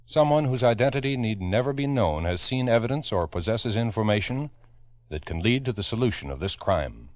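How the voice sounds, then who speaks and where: An American man narrating a 1950s radio crime program in a grave, deliberate, dramatic manner. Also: medium pitch, lively intonation, darkish tone, no room sound. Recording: dull vintage radio recording with music.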